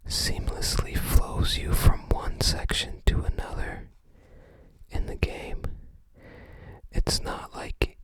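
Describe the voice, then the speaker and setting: Very slow, hushed ASMR whisperer. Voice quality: soft breathy whisper. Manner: unhurried, musing aloud. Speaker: ASMR video creator. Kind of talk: ASMR show-and-tell of a book.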